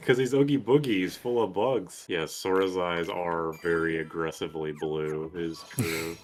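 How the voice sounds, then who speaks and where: A man's voice: deep voice, steady tone, unhurried. A man chatting casually as he co-commentates a video game, joking and swearing freely. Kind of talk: casual gaming commentary chat. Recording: video game music behind.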